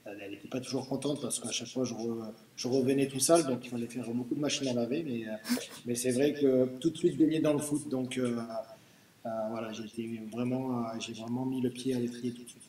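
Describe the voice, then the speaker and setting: A man's voice: mid-range voice, fairly even intonation, fairly quick, warm tone. Man, 30s, video call guest, hesitant, many fillers, distant mic, echoey room, faint noise. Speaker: guest footballer. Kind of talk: casual interview answers. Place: small room with bare wall.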